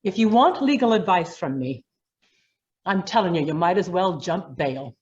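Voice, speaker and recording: A woman's voice: lowish voice, animated, normal speed, darkish tone, muffled recording, lightly acted. A woman playing a blunt, no-nonsense gangster's lawyer in an old time radio crime drama, speaking emphatically. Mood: alarmed, urging.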